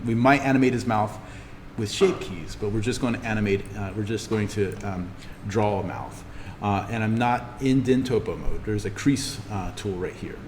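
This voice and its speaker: A man giving an informal in-class software tutorial as the instructor, thinking aloud haltingly as he demonstrates. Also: on the low side. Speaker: college instructor. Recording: reverberant room, clearly noisy background.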